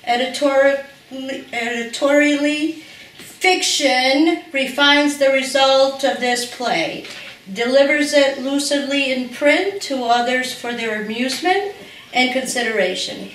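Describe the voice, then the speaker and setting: A woman in her sixties reading a tribute aloud at a gathering in a living room, slow and deliberate with small self-corrections. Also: sits high, a bit bright. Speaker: reader at a literary gathering. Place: living room of a large house, indoors. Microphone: far camera. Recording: echoey room from far off.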